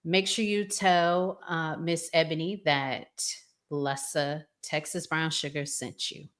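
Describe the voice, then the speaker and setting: A woman's voice: sits low, steady tone, slow. A middle-aged American woman hosting a casual livestream talk show in a conversational manner. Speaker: podcast host.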